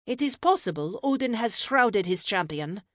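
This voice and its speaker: A woman's voice: medium pitch, animated, normal speed, dry recording, studio quality, pitched above the performer's own voice, close to an everyday voice. A woman voicing a fierce, proud and impatient ancient goddess in a fantasy audiobook, with a formal, commanding manner. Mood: determined.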